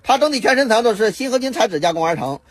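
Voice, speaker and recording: A man pitching a kitchen peeler in a punchy, boastful sales patter. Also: expressive, rapid-fire. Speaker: product demonstrator.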